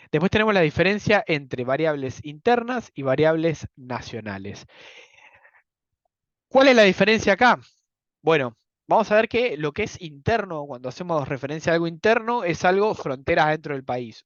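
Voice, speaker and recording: A young man with an Argentine accent lectures patiently, padding his points with fillers and asking if it's understood. His voice sits a bit high. The recording is dull and dry, like a close computer mic. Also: a bit bright, no echo. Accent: Argentine Spanish. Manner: explanatory, many fillers, checks understanding. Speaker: economics lecturer. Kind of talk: university lecture.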